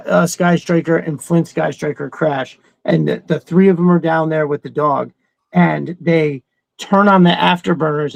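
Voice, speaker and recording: Gravelly middle-aged man, casual chat. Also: fairly high pitch, dry recording.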